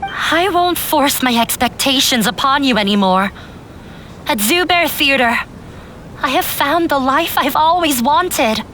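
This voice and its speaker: A woman's voice: high voice, expressive, a little slow, pushed and bright, slight background noise, full character voice. A woman playing an earnest, resolute young daughter who defies her strict father in a video game, speaking solemnly and articulately. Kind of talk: dramatic video game voice acting.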